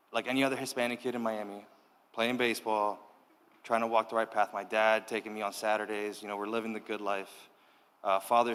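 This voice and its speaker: A man's voice: fairly low pitch, fairly bright tone, clear, resonant tone, big-room sound. A man born in Cuba giving a casual personal testimony to a youth group, often checking in with "all right?". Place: church youth hall.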